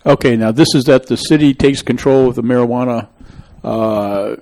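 Middle-aged man, local meeting host, emphatic opinionated rambler, average pitch. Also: moderate pace, a little mellow. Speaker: local official hosting a public meeting show. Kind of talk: town meeting discussion, opinionated.

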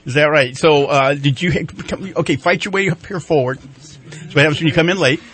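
A man's voice: a little high, a little fast, a bit bright. A man hosting a morning radio show in a crowded studio, chatting casually and laughing often as he coaxes guests to the mic. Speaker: radio host.

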